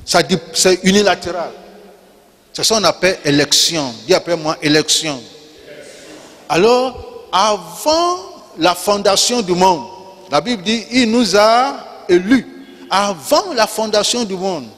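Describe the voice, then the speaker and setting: A male pastor preaching a sermon in French, which is not his first language, with call-and-response lines, repeated key words and rising shouts. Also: high-pitched, expressive, measured pace. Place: church. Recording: long reverb of a church hall.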